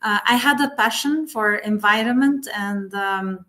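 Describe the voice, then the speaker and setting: A woman's voice: medium pitch, a little slow, bright, forceful tone. Woman director with frequent fillers. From Lebanon. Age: 40s. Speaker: panelist, UN division director. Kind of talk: webinar panel talk.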